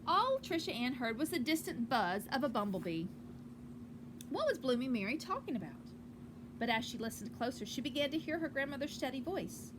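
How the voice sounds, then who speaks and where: A woman teacher reading a picture book aloud to children outdoors on a porch, with gentle, encouraging asides. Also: sits high, big pitch swings, fairly quick. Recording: clearly noisy outdoor ambience.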